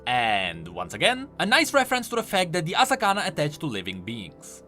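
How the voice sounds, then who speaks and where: A man's voice: fairly high pitch, wildly swooping pitch, fairly quick, sharp tone, soft background music. A man giving enthusiastic, explanatory commentary on video game lore, leaning hard on key words for emphasis.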